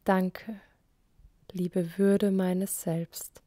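A woman recites poetry very slowly in short, measured phrases. Her voice is soft and mellow, often trailing into breath at the ends of lines. The recording is dry and close, with long pauses between phrases. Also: medium pitch, little pitch movement, dry recording. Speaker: poet.

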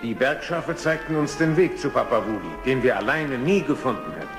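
A man's voice: medium pitch, lively intonation, fairly quick, reverberant, over loud music, close to an everyday voice. A man playing a thoughtful older animal adviser, telling the tale in a children's radio play. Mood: ominous narrating.

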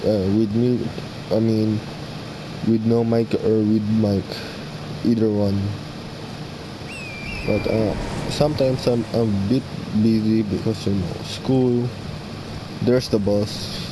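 A young man giving casual gameplay commentary, halting as he muses aloud, close to the mic. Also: lowish voice. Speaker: gaming video host. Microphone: mic placed right in front. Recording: steady background hum and noise.

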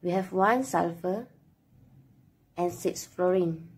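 Young female tutorial narrator, lowish husky voice, careful scripted read. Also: slow pace, a little mellow. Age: young adult. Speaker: student presenter.

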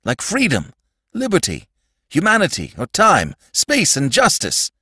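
A terrified alien creature from a storybook, acted out by a man, pleading in a high, strained voice that swings widely. He is frantic and urgent, as if desperate to be believed, in a dry, close recording. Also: huge pitch swings, a little slow, bright, forceful tone, husky, dry recording, heavily acted. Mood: frightened pleading. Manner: frantic, pleading, gasping outbursts. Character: terrified shapeshifting alien mistaken for the Sandman, pleading.

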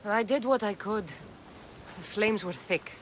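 A tough, wry mercenary from a video game. She sounds self-assured and direct, her pitch moving in a lively way. There is faint ambient noise behind her. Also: slow. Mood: somber. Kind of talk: video game dialogue acting.

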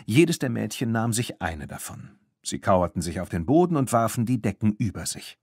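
A man's voice: fairly low pitch, darkish tone, slightly rough, no room sound, clean studio sound. A man narrating a scripted children's story as an audiobook reader.

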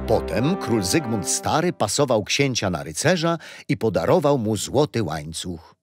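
An actor playing a kindly, patient grandfather telling stories in a children's radio play, unhurried and expressive. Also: on the low side, faint music behind. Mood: storytelling. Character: kindly grandfather storyteller, patient, teasing, wise.

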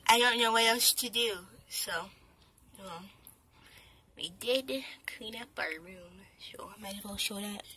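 A young girl with a high-pitched voice giggles and rambles, often half-whispering, as she films a casual vlog on her phone in a small dark closet. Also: unhurried. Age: young, a minor. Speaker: vlogger filming her gaming corner. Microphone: handheld phone camera. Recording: noisy phone recording with clicks.

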